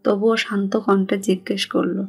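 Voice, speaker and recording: A woman's voice: mid-range voice, little pitch movement, normal speed, gravelly, slightly rough. A woman with a Bangladeshi accent reading a scripted romantic story as its narrator. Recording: music bed behind the voice.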